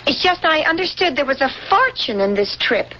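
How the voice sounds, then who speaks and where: A wheedling, high-voiced partner. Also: female voice, very animated, normal speed, sharp tone, slight background noise, exaggerated voice. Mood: coaxing. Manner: soothing, wheedling. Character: adult woman, the pilot's coaxing partner. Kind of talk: 1970s radio drama acting. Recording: dull 1970s radio broadcast.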